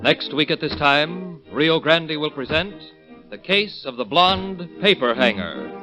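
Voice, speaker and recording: A man's voice: fairly high pitch, expressive, unhurried, over music, low-quality recording. A man announcing next week's programme as a 1930s radio network announcer.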